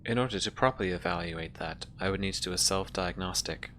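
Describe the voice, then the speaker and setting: Low-pitched, calm ship AI acted out by a game master, formal, measured, sci-fi tabletop game, faint background rumble. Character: ship's computer Mother, calm formal female AI.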